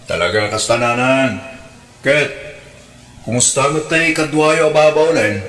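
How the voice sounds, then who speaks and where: Brief: actor as caring adult Ilocano son, mid-pitched, echoey sound, radio drama. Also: male voice, a little slow, fairly bright tone, natural voice. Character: dutiful, caring grown son calling home. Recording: echoey, roomy voice.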